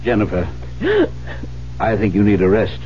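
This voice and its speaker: An actor playing a stern, rational friend, firm and admonishing, in a 1970s radio drama. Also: male voice, fairly low pitch, steady tone, moderate pace, lightly acted. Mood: skeptical. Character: stern, rational male friend confronting a widow. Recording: muffled, noisy vintage radio broadcast.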